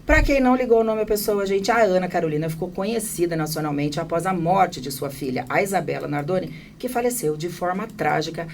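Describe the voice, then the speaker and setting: A woman from São hosts a talk show in a fairly low voice. She sounds a little away from the microphone, with faint noise around her. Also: expressive, fairly quick, few pauses, recorded from a distance. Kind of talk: talk show presenting and interviewing.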